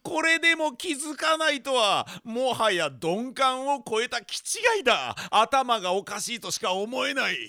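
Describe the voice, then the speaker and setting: A man's voice: very high-pitched, expressive, a little slow, hardly any pauses, pushed and bright, full character voice. A man playing an exasperated office manager who narrates melodramatically in a comic radio drama. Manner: melodramatic, overwrought. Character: exasperated male office manager, narrating his pent-up frustration.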